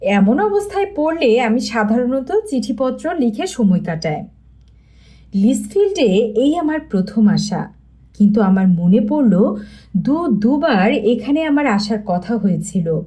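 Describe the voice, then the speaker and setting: A woman narrating a scripted story as an audiobook reader, in an expressive storytelling manner. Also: on the high side, unhurried.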